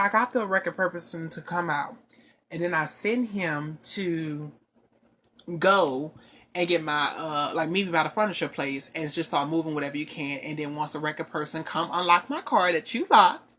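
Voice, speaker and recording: A woman with a somewhat low voice tells a long, winding personal story in relaxed, casual conversation. She rambles along in an animated way, often stopping for asides to her listeners.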